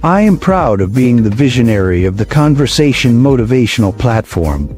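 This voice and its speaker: A man from Guyana answering prepared interview questions deliberately, repeating each question in his answer. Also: mid-range voice, sing-song, a little mellow. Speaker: interviewee, motivational platform founder. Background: music.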